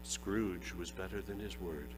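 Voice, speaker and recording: A man's voice: low-pitched, a little slow, long reverb tail, noisy background. A man giving a dramatic storybook reading, relishing each phrase. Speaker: reader.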